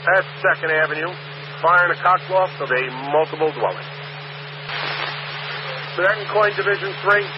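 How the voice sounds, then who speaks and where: A man's voice: high-pitched, normal speed, long pauses. A man with a New York accent working as a fire dispatcher, reading out calls and addresses in a clipped, methodical radio style. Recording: muffled dispatch radio with loud static.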